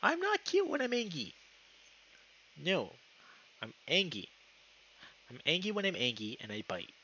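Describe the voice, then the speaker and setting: A man's voice: on the high side, huge pitch swings, measured pace, sharp tone, dull audio, a little hiss or hum. A young man live streaming, moving between casual chat and reading story narration aloud.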